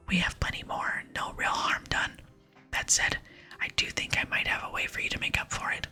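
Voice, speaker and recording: A woman voicing a solemn, wistful last dwarf smith in a breathy ASMR whisper for a game reading. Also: normal speed, pushed and bright, faint music behind. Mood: helpful. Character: solemn lonely last dwarf smith, mournful but hopeful.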